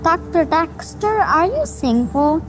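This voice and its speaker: A woman as a high-voiced, curious little boy. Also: expressive, takes it slowly, background noise, exaggerated voice. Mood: curious, cheeky. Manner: innocent, blunt questioning. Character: curious, chatty, sick little boy, sweetly precocious. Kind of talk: audio drama acting. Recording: music behind. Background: music.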